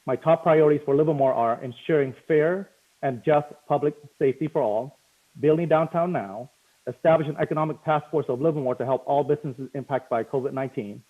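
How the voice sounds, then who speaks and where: A man in his forties reads an earnest candidate statement at a brisk clip. His voice comes through thin and tinny, like a phone line, from a home office on a video call. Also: a little high. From Cambodia. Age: 40s. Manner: earnest, reads steadily with brief fillers. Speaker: mayoral candidate. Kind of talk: prepared opening statement.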